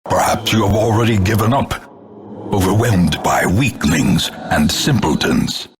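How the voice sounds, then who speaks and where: An actor playing a grim, contemptuous older narrator of doom, announcing a video game with grandiose, theatrical gothic delivery. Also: male voice, deep voice, animated, a little hiss or hum. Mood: scornful, foreboding. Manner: grandiose, ornate, measured dramatic delivery.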